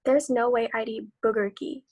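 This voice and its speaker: A woman's voice: on the high side, steady tone, moderate pace, darkish tone. A woman reading a children's picture book aloud. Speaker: read-aloud reader.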